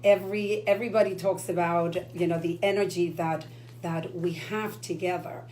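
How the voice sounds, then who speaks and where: A woman's voice: fairly low pitch, steady tone, normal speed, dark tone, slight background noise, low-quality recording. A woman from the Dominican Republic co-hosting a radio talk show, earnest and enthusiastic. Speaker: radio co-host.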